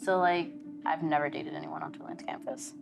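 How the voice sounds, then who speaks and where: A woman student answering thoughtfully and in a measured way. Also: low-pitched, flat delivery, creaky, over music.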